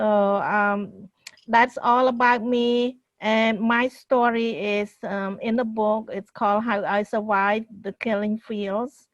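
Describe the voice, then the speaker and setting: Slow woman, muffled lo-fi. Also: medium pitch, no room sound. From Cambodia. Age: middle-aged. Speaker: co-host. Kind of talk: self-introduction on a show. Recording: dull lo-fi sound.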